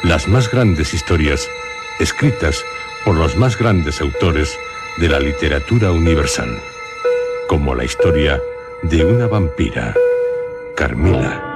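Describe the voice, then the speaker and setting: Castilian spanish guy hosting spooky radio drama, super slow and theatrical, dramatic pauses, music under him. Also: extremely low pitch, animated. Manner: solemn, theatrical, suspenseful, in short phrases with deliberate pauses. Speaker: host and narrator of a radio horror drama series. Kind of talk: dramatic scripted radio introduction.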